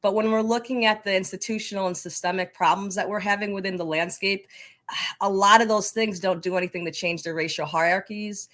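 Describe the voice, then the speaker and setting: A woman's voice: mid-range voice, steady tone, fairly quick, fairly bright tone. A woman answering interview questions as a soccer coaching advocate, in an emphatic, passionate conversational way.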